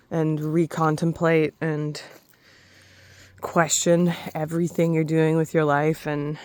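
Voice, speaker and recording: A woman talking slowly and softly in a reflective spiritual vlog, pausing and restarting often, with a slightly raspy voice, alone in a forest. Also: low voice, no echo. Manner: soft, slow, hesitant, with many restarts. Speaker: spiritual vlogger.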